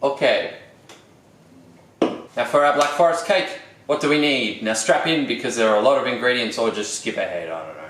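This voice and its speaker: Casting: Australian male cooking presenter, mid-pitched, playful and wry, roomy sound. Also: moderate pace, a bit bright, a little hiss or hum. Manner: playful, wry, pun-making. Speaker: cooking show host. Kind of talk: jokey casual cooking presentation.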